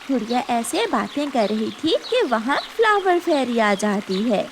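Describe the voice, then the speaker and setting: Woman reading a kids story as narrator, high voice, very animated intonation. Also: measured pace, slight background noise. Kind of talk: scripted children's story narration.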